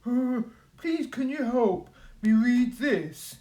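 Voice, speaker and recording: A woman's voice: fairly high pitch, animated, takes it slowly, warm tone, voice pushed up. A woman voicing a polite, hopeful male wolf in a children's storybook, with a hesitant, courteous way of asking. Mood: politely pleading.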